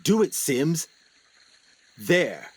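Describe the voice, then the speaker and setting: An actor playing a determined, composed explorer in a radio play, articulate, deliberate and dramatic. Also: male voice, fairly high pitch, sing-song, breathy edge, full character voice. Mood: tense, commanding. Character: determined, composed male explorer recounting his jungle expedition. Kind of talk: radio play narration and dialogue.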